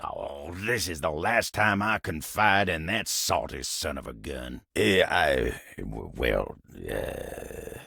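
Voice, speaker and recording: Deep gravelly Southern cowboy-like gentleman. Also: animated, slow, hardly any pauses, no echo, studio quality, heavily acted. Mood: uneasy, reluctant. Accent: American Southern drawl. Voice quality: gravelly, husky. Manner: folksy, drawling, humble. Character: living wooden table, humble, hardworking folksy cowboy-like gentleman. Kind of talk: video game character voice acting.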